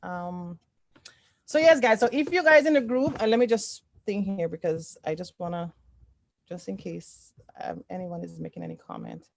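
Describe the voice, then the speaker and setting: A woman's voice: lowish voice, normal speed, gravelly. A woman from Toronto, Canada, chatting casually as host of an online sewing group, often repeating short affirmations. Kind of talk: casual livestream chat. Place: indoors, on a group video call.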